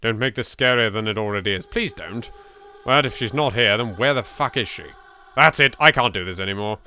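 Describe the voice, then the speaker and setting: Bright-voiced British man, theatrical horror game reader. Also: on the low side, brisk, a little hiss or hum. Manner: theatrical, expressive, swearing. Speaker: video game let's player. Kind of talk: dramatic read-aloud of horror game text with asides.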